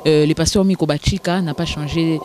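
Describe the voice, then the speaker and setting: A woman, hosting a formal television interview in a hesitant way, with many filler pauses. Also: low voice, fairly even intonation, warm tone, slight background noise. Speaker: TV show host.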